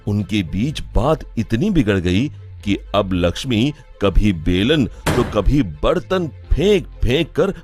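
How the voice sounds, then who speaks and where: A man narrating a cartoon moral story in a measured, expressive way. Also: medium pitch.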